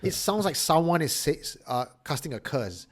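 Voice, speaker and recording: A man's voice: a little high, sing-song, moderate pace. A man hosting a casual panel discussion, talking conversationally. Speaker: show host.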